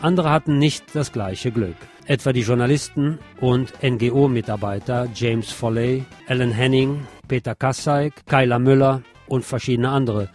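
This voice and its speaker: A male news presenter reads from a script for a local radio bulletin. His voice sits at an average pitch for a man. Instrumental guitar music plays quietly behind him. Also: fairly even intonation, moderate pace, hardly any pauses.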